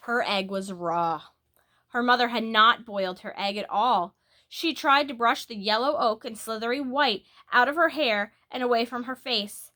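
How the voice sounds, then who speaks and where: A woman reading a children's book aloud as a storyteller, in an expressive, animated way that leans on key words. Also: fairly high pitch, normal speed.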